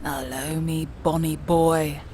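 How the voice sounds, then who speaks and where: A woman's voice: sits low, level tone, slow pace, background noise. A woman voicing an eccentric, menacing, ancient street crone in a comic dub, rambling and cajoling with old-fashioned endearments. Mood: sly, crooning. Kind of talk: comic dub character acting.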